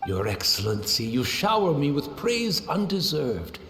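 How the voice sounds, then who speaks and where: A man's voice: a little high, sing-song, a little slow, fairly bright tone, reverberant, full character voice. A man voicing a serene, devoted tea master in a video game, with a courtly, deferential and reflective way of speaking. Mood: humble, courteous.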